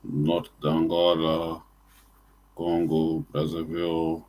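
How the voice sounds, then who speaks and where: A man answering his teacher's questions in a lesson, slowly and briefly. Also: a bass voice, completely flat delivery, a little mellow. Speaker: student.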